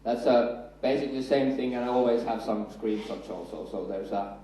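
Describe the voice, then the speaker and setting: A Finnish-accented man in his thirties speaks from a stage in a large hall, joking and building up to his punchlines. His voice is mellow and dark. The sound is heavily echoey and comes from some distance from the mic. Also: medium pitch, a little fast. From Finland. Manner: chatty, self-deprecating, builds up to punchlines. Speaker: conference speaker. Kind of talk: conference talk with jokes. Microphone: handheld mic.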